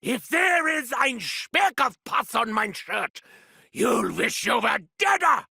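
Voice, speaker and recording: An actor with a German accent playing a manic, bloodthirsty male mad scientist shouting gleeful taunts while fighting zombies in a video game. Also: very high-pitched, sing-song, slow pace, gravelly, husky, big-room sound, full character voice. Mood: cackling. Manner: shrieking gleeful battle taunts. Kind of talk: video game combat voice lines.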